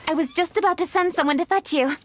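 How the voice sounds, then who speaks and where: An actress voicing a brisk, analytical young woman leader in a game, speaking crisply and matter-of-factly. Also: very high-pitched, slight background noise. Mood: businesslike. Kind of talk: video game cutscene voice acting.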